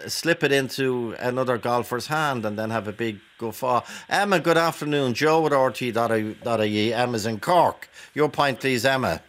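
A man's voice: medium pitch, fairly bright tone. A man with an Irish accent hosting a talk radio phone-in, asking probing and challenging questions.

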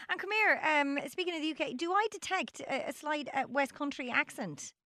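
Lively Irish woman interviewer. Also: high voice, brisk, dry recording, clean studio sound. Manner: curious, warm-hearted questions with hesitant fillers. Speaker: interview host. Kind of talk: casual interview.

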